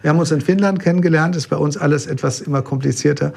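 Raspy-voiced man with a gravelly edge. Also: fairly high pitch, rapid-fire. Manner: relaxed storytelling, frequent hesitations. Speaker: pastor. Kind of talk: interview conversation.

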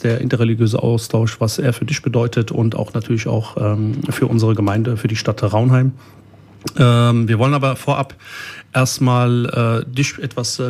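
A man's voice: mid-range voice, darkish tone. A man hosting a local radio interview, speaking hesitantly with many ums and uhs. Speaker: host of a local radio show.

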